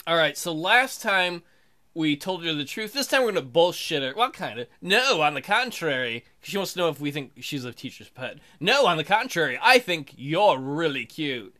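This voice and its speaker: A woman's voice: low-pitched, big pitch swings, normal speed, sharp tone. A woman hosting a let's play, reading aloud and commenting casually in a playful, sarcastic way.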